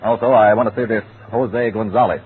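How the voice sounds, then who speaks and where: An actor playing a brisk, businesslike police captain interrogating witnesses in an old-time radio drama. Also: male voice, on the low side, fairly even intonation, slightly rough. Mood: firm, commanding. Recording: tinny, noisy old radio recording.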